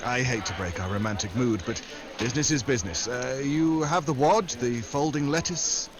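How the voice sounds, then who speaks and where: An actor playing a glib, flirtatious American secret agent in a 1940s-style radio drama, smooth and wisecracking. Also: male voice, medium pitch, normal speed, a crowd in the background, close to an everyday voice.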